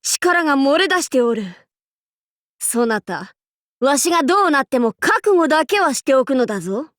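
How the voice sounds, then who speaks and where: A woman's voice: sits high, sing-song, moderate pace, fairly bright tone, no echo, clean studio sound. A woman voicing a boisterous female demon king warlord in a Japanese anime game, speaking in a haughty, archaic, theatrical way. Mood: grave. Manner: haughty old-fashioned lordly speech, theatrical battle cries.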